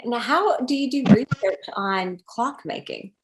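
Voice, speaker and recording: A woman's voice: fairly high pitch, lively intonation, a little slow. A woman hosting a podcast interview, recorded in an echoey room. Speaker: podcast host.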